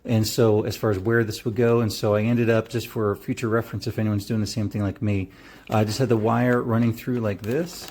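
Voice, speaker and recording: A man's voice: medium pitch, gravelly, a little mellow, breathy edge. A middle-aged man giving a casual product review in an easygoing, rambling way.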